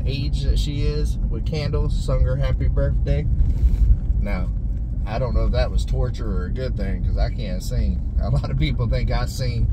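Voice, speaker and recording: Man talking over loud road noise. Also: medium pitch. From United States. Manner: folksy, rambling. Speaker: homesteading vlogger. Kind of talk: casual chat to viewers. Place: inside a moving pickup truck. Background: loud car engine and road noise.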